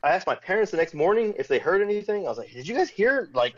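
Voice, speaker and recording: A man guest telling a personal story in casual talk, animated when quoting others, with restarts. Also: high-pitched, quick pace, dull audio. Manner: animated retelling with quoted voices, restarts.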